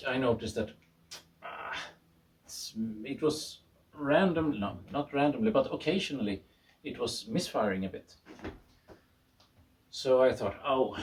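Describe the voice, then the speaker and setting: A man's voice: medium pitch, a little hiss or hum. Man, garage tinkerer, mid-range voice, informal explanation, hesitant, self-deprecating, recorded at a distance. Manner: hesitant, thinking aloud. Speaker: garage tinkerer presenting own experiment. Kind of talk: informal explanatory video talk. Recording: voice far from the mic.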